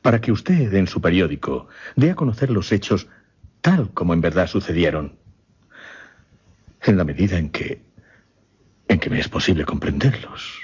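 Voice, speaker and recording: Husky, low-voiced courteous gentleman. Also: animated, normal speed, long pauses, exaggerated voice. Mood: grave, earnest. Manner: polite, hesitant, formal. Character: courteous, earnest gentleman host, troubled by a scandal. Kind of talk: Spanish-language radio drama acting. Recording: muffled, lo-fi radio drama recording.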